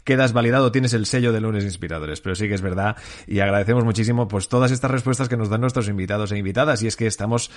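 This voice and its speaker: A male host with a low voice keeps the interview chatty and upbeat. He leans on a few "eh" fillers between his thoughts. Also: quick pace. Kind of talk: podcast interview hosting.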